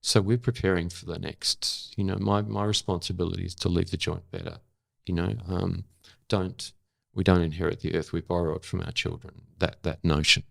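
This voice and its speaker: Deep, creaky-voiced Australian man of seventy. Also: level tone, a bit bright, no room sound, studio quality. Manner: thoughtful, halting, emphatic repetition. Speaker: interview guest, former journalist and actor. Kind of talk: reflective conversational interview.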